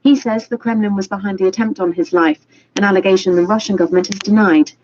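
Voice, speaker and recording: A woman reading a scripted news bulletin in a measured, formal way. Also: mid-range voice, darkish tone, a little hiss or hum. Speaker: newsreader. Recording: dull lo-fi radio broadcast.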